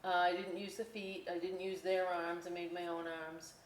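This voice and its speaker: A woman hosting a children's storytime and craft session, chatty and expressive, speaking directly to young listeners. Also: on the low side, moderate pace, slight background noise. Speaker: library storytime host. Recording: echoey room.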